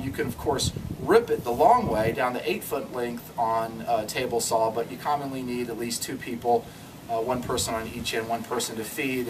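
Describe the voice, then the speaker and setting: A middle-aged man giving an unscripted do-it-yourself tutorial in a driveway, explaining in a relaxed way with many ums and uhs. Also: mid-range voice. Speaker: DIY instructor. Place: outdoor driveway in front of a garage. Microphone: camera mic from a few meters away. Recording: distant camera mic, audible background noise.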